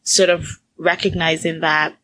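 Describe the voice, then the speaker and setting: A young woman from Ghana, a guest entrepreneur, telling stories in a casual interview, animated and laughing often. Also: deep voice, measured pace, low-quality recording. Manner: animated storyteller, laughs often, repeats words.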